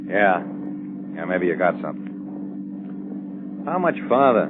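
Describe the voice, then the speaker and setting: An actor playing a calculating, smooth-talking crook with quiet menace, in 1940s radio drama style. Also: male voice, low voice, very animated, slow pace, heavily acted. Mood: cool, menacing. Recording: narrow, noisy 1940s radio recording.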